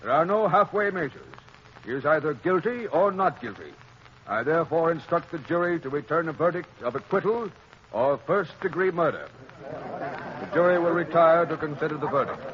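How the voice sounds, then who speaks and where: Stern male judge, played by a man, formal and authoritative, slightly high voice, muffled 1930s radio drama. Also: animated, normal speed, a crowd in the background, lightly acted. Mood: stern, measured. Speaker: radio actor.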